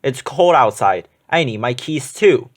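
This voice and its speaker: A man's voice: mid-range voice, expressive, a little slow. A man voicing a forgetful, flustered male schoolteacher in a children's storybook, with a fretful manner.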